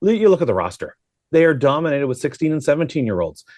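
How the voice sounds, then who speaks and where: A man's voice: fairly high pitch, big pitch swings, quick pace, darkish tone, no room sound. A man working as a sports broadcaster, giving informal, talkative, conversational analysis with rambling asides.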